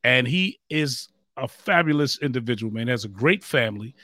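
A male host chats casually on his interview show, dropping brother and man into nearly every sentence. His voice is bright and pushing, and the sound is dry and close, with no room around it. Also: mid-range voice, lively intonation, brisk, no room sound. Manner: warm preacherly asides, calls people brother and man.

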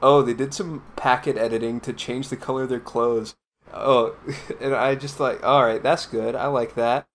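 A young man in casual group chatter, loose and profane, stringing thoughts together with endless "like"s. His pitch sits mid-range, with a faint bit of hiss underneath. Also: steady tone, normal speed. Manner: rambling, profane, lots of "like". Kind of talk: casual podcast banter.

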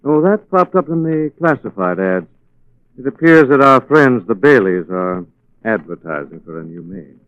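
A man playing an unflappable, dryly witty gentleman detective in 1940s radio drama style. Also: medium pitch, lively intonation, dry recording, lightly acted. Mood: wry, casual. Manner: dry, unhurried, understated. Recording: dry, narrow-band 1940s radio recording.

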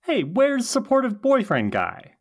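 A performer voices an earnest young college witch in a table read. She is chatty and eager, with a medium pitch that swings widely from word to word. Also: huge pitch swings, measured pace, full character voice. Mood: pointed, caring. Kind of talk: podcast table read of TV script.

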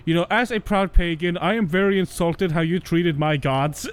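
Young man, podcast co-host, casual crude banter, fairly high voice. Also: normal speed, smooth. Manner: crude, joking, laughs often. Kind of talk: casual chat.

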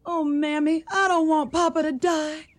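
An actress playing a devoted, anxious young daughter in a radio drama. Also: female voice, high voice, flat delivery, normal speed, close to an everyday voice. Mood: worried, tender.

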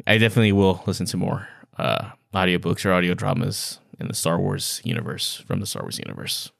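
A man with a fairly low voice, recorded close and dry. He chats casually as a podcast co-host, with a playful, teasing streak. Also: fairly even intonation, fairly quick, gravelly, fairly bright tone, no echo. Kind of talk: casual book-review chat.

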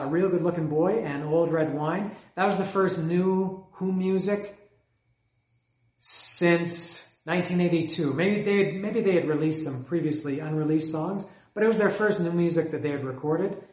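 A man with a slightly high voice talks loosely about his album collection, stumbling and repeating himself as he goes. His voice is wearing thin, and the room around him rings with echo. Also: normal speed. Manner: rambling, hesitant, repeats words. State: losing his voice. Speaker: music collector reviewing albums. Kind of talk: casual solo talk about his collection.

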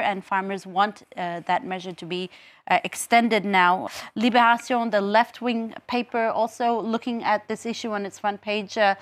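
Female press reviewer, mid-range pitch, explanatory delivery with hesitant pauses, dry studio-style sound. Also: no echo. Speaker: news presenter reviewing the press. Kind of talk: live newspaper review, explanatory.

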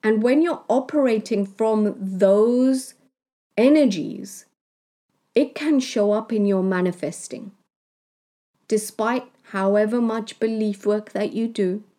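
A woman from Kenya, a spiritual healer, talking in a slow, deliberate monologue that she punctuates with "right?". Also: medium pitch, dark tone. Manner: slow, deliberate, with tag questions. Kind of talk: reflective monologue.

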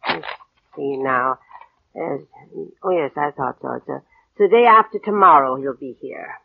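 An actress playing a vain, demanding old invalid aunt in 1940s radio drama, querulous, condescending and coquettish. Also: female voice, a bass voice, expressive, unhurried, phone-quality sound, exaggerated voice. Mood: impatient, fussy. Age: older. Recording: husky band-limited old radio recording.

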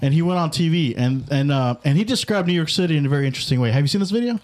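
A man from San Jose hosting a podcast, excitable and stumbling over restarts in casual banter. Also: a little high, very fast. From San Jose, California. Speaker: podcast host.

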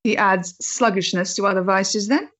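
Actress as a resolute Victorian freethinker, muffled recording. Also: female voice, medium pitch, steady tone, a bit bright, natural voice. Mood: composed, wry. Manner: measured, formal, earnest. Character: resolute principled Victorian freethinking woman, composed and bitter. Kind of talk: period stage-play acting.

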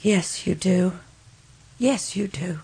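An actress playing a grief-stricken, obsessive young widow in an old-time radio drama, pleading in a fervent, melodramatic way. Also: female voice, fairly low pitch, slow pace, vocal fry, mellow, slightly rough, full character voice, poor audio. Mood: fervent, insistent.

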